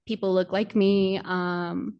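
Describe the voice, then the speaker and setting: Hesitant woman panelist, mid pitch. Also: level tone, slow pace, clean tone, lo-fi sound. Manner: casual, hesitant, searching for words. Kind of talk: panel discussion.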